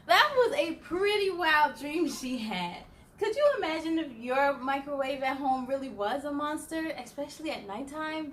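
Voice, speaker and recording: A woman hosting a children's story time, reading aloud in an expressive, animated way with playful asides. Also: high-pitched, slight background noise.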